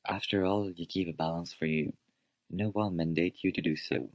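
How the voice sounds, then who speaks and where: A young man, a student, making his points in a scripted radio debate with formal, measured wording. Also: deep voice, steady tone, dry recording. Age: young adult.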